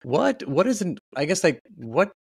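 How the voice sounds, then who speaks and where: A man in his thirties hosting a podcast, asking halting interview questions into a studio mic at home. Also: fairly high pitch, big pitch swings, gravelly, husky, no room sound. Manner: halting, restarts questions, frequent mm-hmms. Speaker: podcast host.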